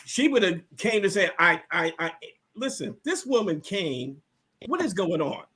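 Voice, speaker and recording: A man talking casually and with strong opinions as a panel guest, animated and emphatic, often repeating himself. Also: high voice, unhurried, husky.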